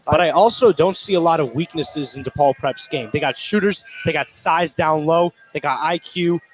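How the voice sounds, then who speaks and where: Male color commentator, voice a little higher than average, conversational analysis for live basketball radio. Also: normal speed, soft background music, rough recording.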